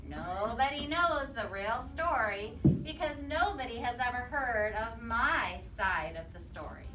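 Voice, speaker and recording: Wronged, chatty storybook wolf played by a woman reader, high and expressive; roomy, slightly distant recording with faint noise. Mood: confiding, indignant. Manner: expressive, confiding, drawn-out emphasis.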